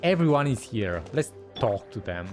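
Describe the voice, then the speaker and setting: A man's voice: mid-range voice, sing-song, normal speed, a little mellow. A man giving casual reaction commentary while streaming a video game. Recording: faint game music behind.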